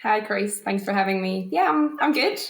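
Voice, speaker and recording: A woman's voice: medium pitch, animated, normal speed, vocal fry, roomy sound. A woman from Poland, a golf teaching professional, chatting as a podcast guest in a thoughtful way with many restarts. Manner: thoughtful, frequent restarts and fillers, light laughs. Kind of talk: casual podcast interview.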